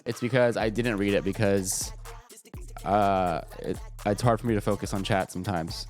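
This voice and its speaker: A man's voice: on the low side, fairly even intonation, normal speed. A man streaming live, a little congested and stuffy, chatting casually and joking with lots of profanity. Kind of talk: casual livestream chat. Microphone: desk microphone. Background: faint music.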